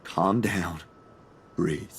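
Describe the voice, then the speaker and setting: A man voicing a troubled young man in a horror game, with dramatic, drawn-out delivery. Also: sits low, slightly rough, voice pushed down, full character voice. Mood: self-soothing.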